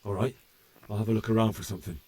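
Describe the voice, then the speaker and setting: A man's voice: fairly low pitch, level tone, very fast, close to an everyday voice. An actor playing an earnest, grieving young man set on revenge in a video game cutscene. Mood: tense, guarded. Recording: loud music behind the voice.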